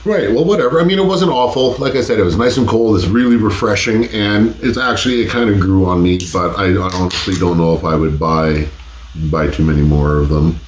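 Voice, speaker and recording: A man's voice: deep voice, a little fast, roomy sound, slight background noise. A man in his forties hosting a casual taste-test vlog from his home office, laid-back and cursing freely, his voice stuffed up from a cold. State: congested from a cold. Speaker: host. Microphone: desk condenser mic.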